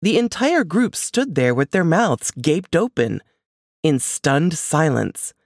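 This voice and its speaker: A woman's voice: sits low, sing-song, slow, no room sound, studio quality. A woman reading scripted suspense fiction as an audiobook narrator.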